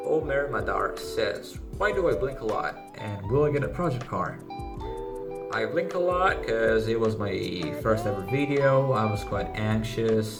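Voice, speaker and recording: A man with a Greek accent reading out questions and answering them briefly and matter-of-factly in a casual vlog. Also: fairly low pitch. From Greece. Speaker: car channel host. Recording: music playing behind the voice. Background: background music.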